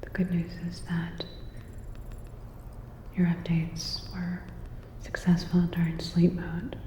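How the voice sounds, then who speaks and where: A woman acts out a calm sci-fi repair technician in a whispered ASMR roleplay. The delivery is very even and unhurried, with soft clinical reassurance. It sits in a long, roomy reverb. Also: lowish voice. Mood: calm, official. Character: calm, attentive female cyberpunk robot-repair technician. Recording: roomy reverberant sound, dull, faint noise.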